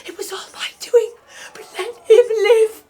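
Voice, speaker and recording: Woman acting a shrill, desperate royal mother. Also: fairly even intonation, a little slow, mellow. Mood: pleading, tearful. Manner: anguished, pleading. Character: desperate young royal mother pleading for her son. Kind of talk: melodramatic audio drama acting.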